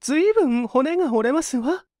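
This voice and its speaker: A man's voice: very high-pitched, expressive, brisk, a little mellow, no echo, studio quality, pitched above the performer's own voice. A man voicing a polite, frank woman with feminine phrasing in an audiobook drama.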